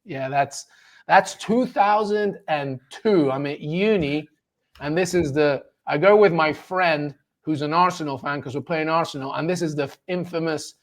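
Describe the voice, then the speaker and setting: A man in his forties from Peru, speaking as an interview guest. His voice sits a touch higher than most men's. He tells his story in a lively, enthusiastic way and laughs easily. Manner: animated and enthusiastic, quick to laugh. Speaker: interview guest, sports journalist. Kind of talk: casual storytelling in a conversation.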